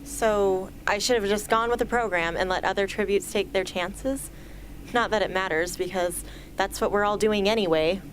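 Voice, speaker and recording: A woman's voice: medium pitch, moderate pace, lightly acted, rough recording. A woman plays a guarded teenage girl archer in an amateur radio play. She is blunt, with a mid-range female voice. There is faint noise in the recording. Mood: resentful. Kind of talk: amateur radio drama acting.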